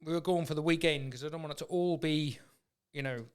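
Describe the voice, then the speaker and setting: A man's voice: fairly high pitch, a little fast, smooth. An English man hosting a podcast, chatting in an informal, supportive and rambling way. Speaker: podcast host. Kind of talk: casual conversational interview.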